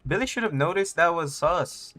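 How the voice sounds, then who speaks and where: A man's voice: a little high, animated, moderate pace, fairly bright tone, faint music behind. Slangy, joking man streaming a game. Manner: slangy, joking, reads dialogue aloud. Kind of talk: casual game livestream commentary.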